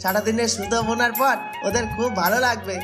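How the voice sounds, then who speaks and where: A man's voice: high voice, fairly even intonation, a little fast, loud music behind the voice, natural voice. A man voicing an eager, helpful young food seller in a fairy-tale cartoon.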